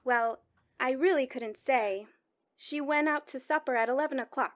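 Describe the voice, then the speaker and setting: Woman doing a polite, calm 1940s hospital operator lady in a radio play, highish voice, very proper. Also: highs cut off, lightly acted. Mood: patient, formal. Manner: formal, courteous, measured. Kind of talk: radio drama acting.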